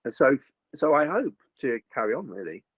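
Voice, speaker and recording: An older man, a voice actor chatting in an interview, slightly gruff, rambling with many fillers and restarts. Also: mid-range voice, very animated, moderate pace, dry recording, lo-fi sound. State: slightly gruff after a recent virus. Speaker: voice actor guest. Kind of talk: casual interview chat.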